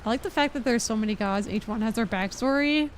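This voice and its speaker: High-voiced young woman streaming, chatty. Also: fast talker, a little hiss or hum. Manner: chatty, meandering, expressive. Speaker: game streamer. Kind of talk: casual live-stream chat. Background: faint game music.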